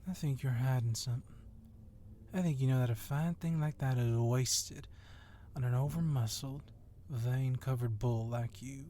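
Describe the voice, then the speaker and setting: A man's voice: medium pitch, normal speed, dark tone, slight background noise, lightly acted. A man playing a confident, sly policeman wrestler who teases and goads his rival in an ASMR roleplay. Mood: taunting. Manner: teasing, needling.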